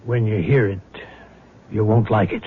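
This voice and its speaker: An actor playing a middle-aged, self-deprecating rich husband in a radio drama, hesitant, courteous and cryptic, with a husky voice. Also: male voice, fairly low pitch, moderate pace, a little hiss or hum, lightly acted. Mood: cryptic, brooding. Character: troubled wealthy betrayed husband, secretive and self-deprecating godfather. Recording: muffled old radio drama recording.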